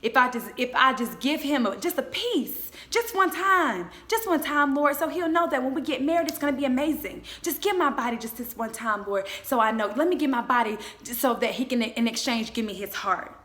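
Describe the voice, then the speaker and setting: Bright, high-voiced young woman exhorting. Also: expressive. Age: 20s. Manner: emphatic, exhorting, with deliberate repetition. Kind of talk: motivational faith talk to camera.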